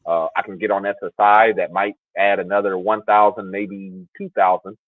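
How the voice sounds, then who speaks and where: A man's voice: sits low, flat delivery, moderate pace, dry recording. A man on a panel, talking hesitantly with repeated stammers and apologies. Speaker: panel participant. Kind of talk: casual panel talk. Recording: dry tinny phone-like line.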